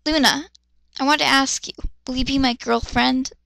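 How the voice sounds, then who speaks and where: A woman's voice: high voice, fairly even intonation, normal speed, gravelly, a bit bright, dry recording, rough recording. A woman voicing an earnest, romantic anime boy in love, read plainly from a fan fiction story. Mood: tender.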